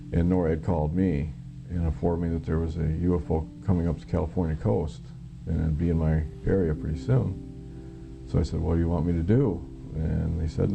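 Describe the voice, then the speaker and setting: Deep, flat-toned American man, gravelly. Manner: halting, plain-spoken, matter-of-fact. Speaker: interviewee, former Air Force air traffic controller. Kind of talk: recounting personal experience in interview.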